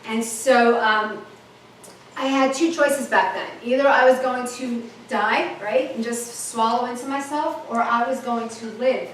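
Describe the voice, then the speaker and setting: A woman's voice: on the high side, unhurried, a little hiss or hum. New woman, echoey and distant. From the Bronx, New York. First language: Italian. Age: 50s. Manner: animated, candid, keeps checking in with "okay?". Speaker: motivational speaker. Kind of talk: personal story told to an audience. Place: conference room at a retreat. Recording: echoey room from far off.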